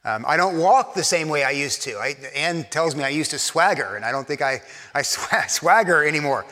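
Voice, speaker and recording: A man from Texas, a pastor preaching a sermon to his church, talking fast and stumbling over repeated words, his Texan accent worn faint by years in New York. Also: a little high, lively intonation, pushed and bright, in a large hall.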